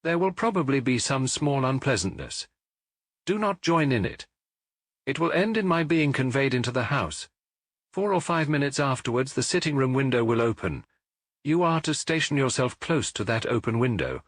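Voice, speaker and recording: A brilliant, commanding Victorian detective played by a male audiobook reader with an English accent. His pitch moves freely as he lays out orders with precise authority. The voice is a little husky and recorded very dry. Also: very animated, normal speed, dry recording. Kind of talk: audiobook character acting.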